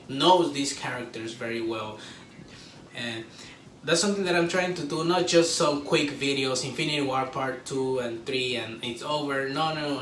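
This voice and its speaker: A man giving a casual, excited fan review to camera, rambling informally and repeating himself. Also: a little high. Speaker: video creator reviewing a film. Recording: roomy echo, distant mic, faint noise.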